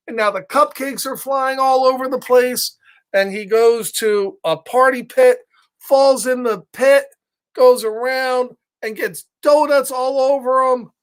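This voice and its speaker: A children's storyteller reading a picture book casually, in simple repeated phrases, checking in with listeners. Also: medium pitch.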